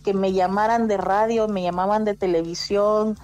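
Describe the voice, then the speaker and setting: A woman's voice: mid-range voice, fairly even intonation, moderate pace, a little mellow. A woman telling her story as an interview guest, earnest and often restarting her sentences as she urges listeners on. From immigrant living in Spain. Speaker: guest, domestic workers' rights advocate. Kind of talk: conversational interview testimony.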